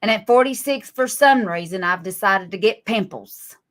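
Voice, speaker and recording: A woman in her forties with a drawl, lecturing critics on a live stream. Her bright, forceful voice stays sarcastic and scolding. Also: medium pitch, normal speed. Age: 40s. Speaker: livestream guest.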